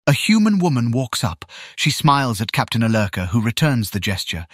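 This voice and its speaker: A male narrator with an average-pitched voice reads scripted scene descriptions for an audio drama. The recording is dry, with very little room sound. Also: sing-song, fairly quick, a bit bright, no room sound.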